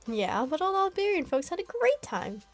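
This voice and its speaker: A woman's voice: high-pitched, sing-song, a little fast, soft background music, voice pushed up. A woman voicing a brash, teasing girl who hates losing.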